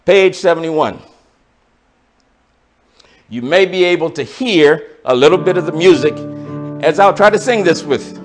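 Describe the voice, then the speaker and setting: A man's voice: a little high, expressive, unhurried, long pauses, faint music behind. A pastor explaining scripture verse by verse to his congregation in a sermon, talking directly to the listeners. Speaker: pastor teaching a Bible study. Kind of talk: sermon-style Bible teaching.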